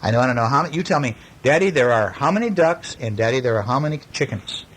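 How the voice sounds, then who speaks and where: A man's voice: medium pitch, lively intonation, fast, fairly bright tone. A man talking casually as a father with his small children over a picture book, coaxing them with questions and praise. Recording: noisy home recording with clicks.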